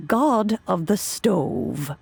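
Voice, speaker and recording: A woman's voice: on the low side, sing-song, vocal fry, a crowd in the background, full character voice. An actress playing a serene, wise immortal adeptus in a video game, telling old legends in a formal, reverent way with music behind her. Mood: calm, explanatory. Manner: measured, formal, solemn storytelling delivery. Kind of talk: dramatic video game cutscene narration. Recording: background music under voice.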